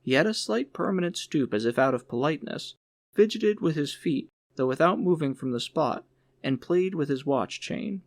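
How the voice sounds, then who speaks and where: A man narrates literary fiction from a script, in the manner of an audiobook. His voice is on the higher side, and the recording is dry, with no room sound. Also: expressive, normal speed, no echo.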